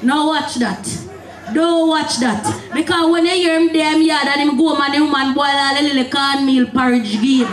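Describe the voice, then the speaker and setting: A woman in her thirties with a Jamaican accent doing loud, emphatic stand-up comedy into a handheld stage microphone. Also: high voice, steady tone, normal speed, far from the mic. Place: stage at night. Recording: echoey stage PA from afar, noisy crowd.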